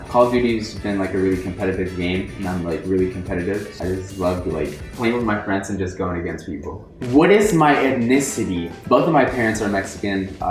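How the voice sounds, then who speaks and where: A young man and native Spanish speaker, chatting casually through a Q&A vlog at home with rambling "like" fillers. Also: low-pitched. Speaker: social media influencer. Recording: roomy echo with faint music.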